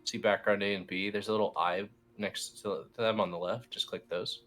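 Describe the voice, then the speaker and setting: A man in a team lead role giving casual, informal step-by-step software instructions, feeling his way as he goes. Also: fairly low pitch, fairly even intonation, moderate pace, soft background music. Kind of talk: casual step-by-step screen tutorial.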